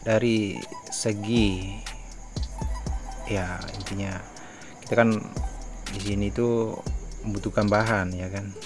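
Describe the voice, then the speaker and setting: A man playing a crime family boss in an improvised game roleplay, speaking deliberately in short, halting phrases. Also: on the low side, steady tone. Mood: measured, instructive. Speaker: family leader in online roleplay. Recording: music playing behind the voice.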